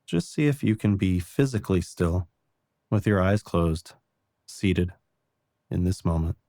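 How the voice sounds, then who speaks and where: A man guiding a meditation in a calm, gentle and unhurried way, reassuring the listener with soft spoken instructions. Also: sits low, no echo, clean studio sound. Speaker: meditation guide.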